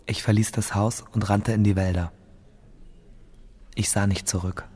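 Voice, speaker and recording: A man's voice: lowish voice, steady tone, measured pace, a little mellow. An actor playing a grieving seventeen-year-old boy fleeing war, telling his story in a subdued, reflective radio drama monologue. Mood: subdued, anxious.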